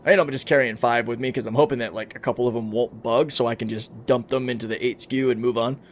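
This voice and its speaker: A man's voice: mid-range voice, steady tone, quick pace, a little hiss or hum. A man in his thirties chatting casually on a game livestream, reading out and answering chat comments as he plays. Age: 30s. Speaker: streamer.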